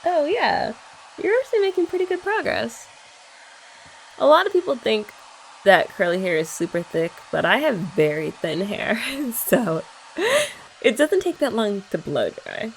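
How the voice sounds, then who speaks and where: A woman's voice: a little high, big pitch swings, a little slow, a little hiss or hum, low-quality recording. A young woman in an ASMR girlfriend roleplay, chatting in a playful, teasing way.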